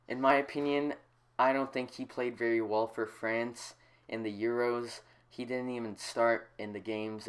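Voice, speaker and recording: A congested man hosting a casual soccer talk show, explaining tactics and giving opinions at length. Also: medium pitch. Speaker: host.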